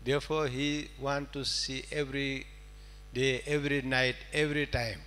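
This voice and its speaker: A man from Nepal, a non-native English speaker and Buddhist monk, giving a Dhamma talk in halting short phrases of simple English. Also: medium pitch, little pitch movement, pushed and bright. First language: not English. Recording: reverberant hall, electrical hum.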